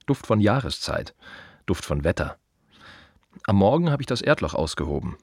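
A reflective gravedigger in an intimate radio monologue. The voice is low and husky, recorded very dry and close. He muses in short, plain fragments with wry, quiet observation. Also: big pitch swings, no room sound. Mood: matter-of-fact, musing. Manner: musing, short fragmentary phrases with pauses. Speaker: monologue actor in a radio play.

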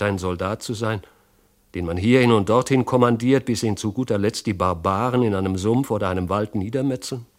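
A man's voice: medium pitch, close to an everyday voice. A man voicing a learned, philosophical slave tutor in a casual, finely ironic way for a literary audiobook. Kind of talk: literary audiobook reading, 1970s radio.

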